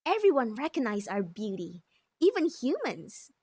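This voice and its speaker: A woman's voice: fairly high pitch, sing-song, darkish tone, slightly rough, dry recording. A woman voicing a haughty, cruel mermaid with a sneering, condescending manner for a visual novel dub. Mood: haughty mockery. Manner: sneering, condescending, drawn-out taunting. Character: haughty, cruel mocking mermaid who prides herself on beauty.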